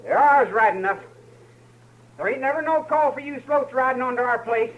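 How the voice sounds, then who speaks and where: An actor playing a hot-headed young frontier ranch son with a rustic drawl, in 1950s radio western style. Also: male voice, extremely high pitch, normal speed, muffled recording. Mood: defiant, angry. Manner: rustic drawl, folksy grammar. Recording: noisy old radio recording.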